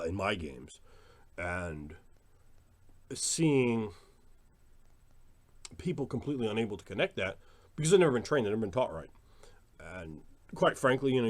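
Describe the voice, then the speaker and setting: A man giving a casual, opinionated vlog monologue as a tabletop gaming creator, emphatic and repeating phrases for stress. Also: lowish voice. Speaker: tabletop role-playing video creator.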